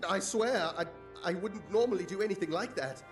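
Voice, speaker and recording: An actor playing a nervous, evasive monk in a video game, defensive and prone to stammering. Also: male voice, high voice, expressive, brisk, faint music behind. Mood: frightened. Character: nervous, evasive male monk who caves under threat.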